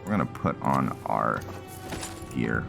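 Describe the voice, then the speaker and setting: Very low, slow-talking man doing gameplay commentary. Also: expressive, creaky, music in the background. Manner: thinking aloud, halting. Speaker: gaming channel host. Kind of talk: casual roleplay gameplay commentary.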